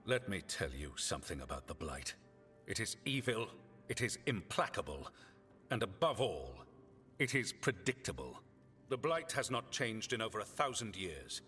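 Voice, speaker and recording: Stern pompous game commander, low forceful voice w big swings, deliberate. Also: male voice, very animated. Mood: grave, condescending. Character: stern, dismissive male military commander of a warrior order. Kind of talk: dramatic video game dialogue. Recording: long reverb, music behind.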